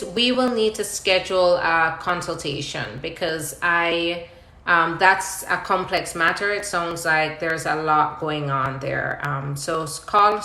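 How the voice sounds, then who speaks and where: Bright-voiced woman advising, echoey room. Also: fairly low pitch. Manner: reads out viewer questions, then explains step by step. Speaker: immigration attorney hosting a live Q&A. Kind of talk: live question-and-answer advice, conversational. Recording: roomy, echoing live-stream sound.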